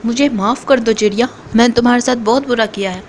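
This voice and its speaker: A woman voicing a hot-tempered male crow ice-cream seller in a cartoon, gruff and boastful. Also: a little high, fast talker, a little hiss or hum, close to an everyday voice. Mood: remorseful. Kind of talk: cartoon voice acting.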